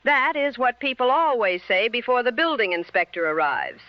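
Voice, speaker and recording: A woman playing a sensible, deadpan co-host on a 1960s radio show with a dull vintage sound. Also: a little high, moderate pace, dry recording. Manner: dry, deadpan.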